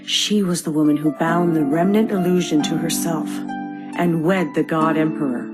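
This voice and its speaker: Low female voice, actress as burdened mother, solemn, deliberate, video game drama, plucked music clearly behind. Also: steady tone, lightly acted. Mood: grave, confessional. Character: grave, burdened mother and former academy researcher. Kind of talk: video game cutscene acting.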